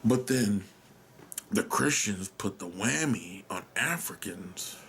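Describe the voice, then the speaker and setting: American dude thinking out loud, gravelly voice, kinda rambly, faint hiss behind him. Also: male voice, on the low side, expressive, slow pace. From United States. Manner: musing, self-questioning, trails off; drops to a whisper at times, casual swearing. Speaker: podcast host. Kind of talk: rambling solo monologue.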